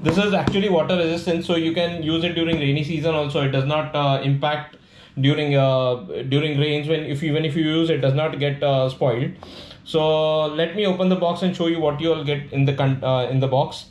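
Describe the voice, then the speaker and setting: A man with an Indian accent casually presenting a product demonstration, explaining in a halting, repetitive way. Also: on the high side, fairly even intonation, a little mellow. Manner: halting, explanatory, repeats himself. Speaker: vlogger presenting a product unboxing. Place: indoors at a tabletop. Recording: echoey room with faint background noise.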